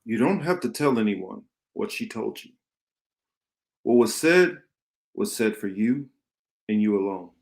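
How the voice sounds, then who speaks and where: A man voicing a solemn, authoritative mentor in a radio play, speaking in a measured way. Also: on the low side, animated, vocal fry.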